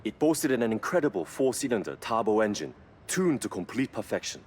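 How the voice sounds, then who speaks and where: A man's voice: mid-range voice, sing-song, fairly quick, a little mellow, breathy edge. A man playing an earnest, knowledgeable car mechanic in video game cutscenes, explaining things with reverence for engines. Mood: earnest, admiring.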